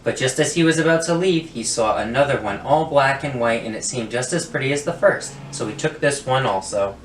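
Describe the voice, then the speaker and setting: A man reading a children's picture book aloud with deliberate storytelling emphasis, in a roomy, distant recording. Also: mid-range voice. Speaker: guest story reader. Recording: roomy, distant mic, faint noise.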